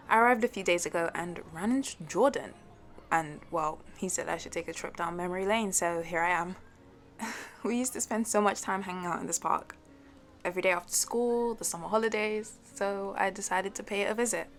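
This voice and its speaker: A woman acts out a sporty young woman in an intimate one-sided audio roleplay. She talks to a childhood best friend in a playful, teasing way, competitive but a little halting. Her pitch sits in the middle range for a woman. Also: close to an everyday voice. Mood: wistful, friendly. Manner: playful, teasing, with hesitant halting starts and giggles.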